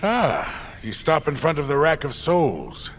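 An actor playing a gruff, kindly older workmate in a fantasy audio drama, folksy, chiding and halting. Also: male voice, a little high, wildly swooping pitch, slight background noise, full character voice. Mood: gruff concern. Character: gruff, kindly old goat-folk workmate, watchful and fussing. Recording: loud music behind the voice. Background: dramatic music.

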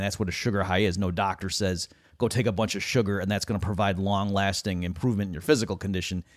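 An animated man co-hosting a conversational talk show. His voice sits at an ordinary middle pitch, and he slips into quick put-on voices when he quotes others. The recording is dry and close, with no room sound. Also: no echo. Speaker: co-host. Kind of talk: conversational podcast discussion.

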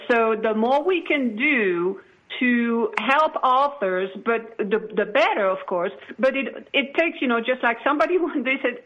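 A woman speaking as an interview guest in an earnest, conversational, somewhat halting way, repeating phrases as she goes. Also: a little high, muffled recording. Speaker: podcast guest, promoter of authors.

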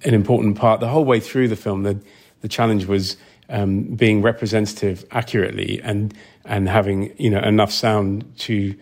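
A man being interviewed as a film sound designer, explaining things conversationally in a thoughtful, halting way with repeated words. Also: fairly low pitch, flat delivery, a little mellow.

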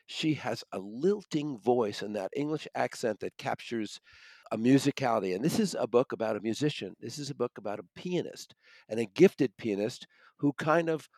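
A man with an American accent discussing books as a reviewer, halting and repeating words as he searches for phrasing. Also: mid-range voice, dark tone, no room sound. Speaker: book reviewer, regular guest. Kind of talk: unscripted book discussion.

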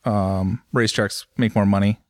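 A man's voice: on the low side, steady tone, moderate pace, a little mellow, no room sound, studio quality. A man co-hosting a motorsport podcast, chatting casually in a rambling, self-deprecating way with frequent restarts. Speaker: podcast co-host, motorcycle news site owner. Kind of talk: casual chat.

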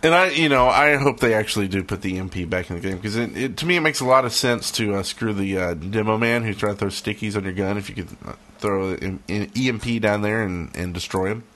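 Low-voiced man chatting casually. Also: fast, a bit bright. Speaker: podcast host. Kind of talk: casual panel chat, sometimes reading aloud.

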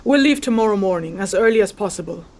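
A woman's voice: medium pitch, lively intonation, fast talker, darkish tone, a little hiss or hum, natural voice. A woman playing a stern, sardonic charm crafter in a fantasy audio drama, giving orders and threats with dry sarcasm. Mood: brisk, commanding.

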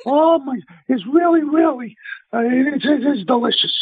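A man's voice: very high-pitched, normal speed, no echo. A man with a Brooklyn accent, a celebrity chef guest, chatting on a radio show in an emphatic, salesman-like way over the phone. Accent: Brooklyn New York. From Brooklyn, New York. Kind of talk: casual phone-in radio chat. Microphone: telephone. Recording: tinny phone line.

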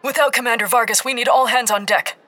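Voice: mid-range voice, steady tone, quick pace, sharp tone, voice pushed up, close to an everyday voice. A narrator voicing a soldier who calls in with strident urgency. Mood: urgent. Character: strident soldier calling from a battlefield.

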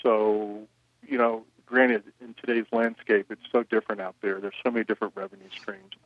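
A man who runs a record label, answering interview questions in a measured way, with frequent "you know" fillers. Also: lowish voice, steady tone, no room sound, phone-quality sound.

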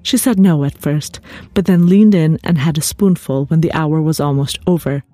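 A woman reading her own literary fiction aloud as an author, with expressive narration. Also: low-pitched, moderate pace, no echo. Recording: music bed behind the voice. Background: composed background music.

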